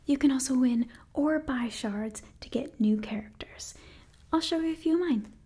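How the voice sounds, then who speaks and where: A woman in her twenties giving an enthusiastic ASMR sponsor read in a soft, breathy whisper, close to the microphone. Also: high voice, normal speed. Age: 20s. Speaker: ASMR creator and host.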